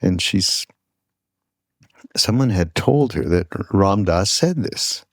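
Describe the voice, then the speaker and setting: Older male podcast host, deep and unhurried, halting reflective storyteller, close dry sound. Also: lively intonation, dry recording. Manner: halting, searching for words.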